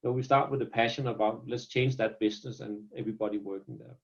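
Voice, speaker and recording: A man's voice: fairly low pitch, fast, vocal fry, highs cut off. A man from Denmark with a Danish accent, a company founder talking earnestly in a business interview and often repeating words as he restarts. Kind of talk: business interview over video call.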